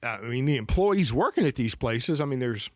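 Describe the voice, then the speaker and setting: A man's voice: medium pitch, lively intonation, brisk, dry recording. A man with a Southern accent, a financial advisor, talking in a rambling way, restarting sentences and often asking "right?". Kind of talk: casual conversation.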